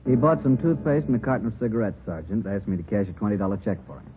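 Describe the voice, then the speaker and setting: An actor playing a brisk, plain-spoken druggist, sure of himself and suspicious, in a 1950s radio drama. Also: male voice, slight background noise, close to an everyday voice. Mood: assured. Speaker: actor in supporting part. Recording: tinny, rumbly vintage radio recording.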